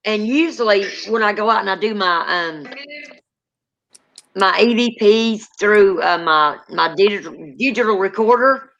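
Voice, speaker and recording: A Southern woman hosting a spirit box livestream, talking in a chatty, affectionate way and calling out to spirits. Her voice sits at a middling pitch. The sound is dull and lo-fi, like compressed stream audio. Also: measured pace, a bit bright. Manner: chatty and affectionate, calls guests honey and girlfriend. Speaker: host of a paranormal livestream. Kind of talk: casual chat, calling out to spirits.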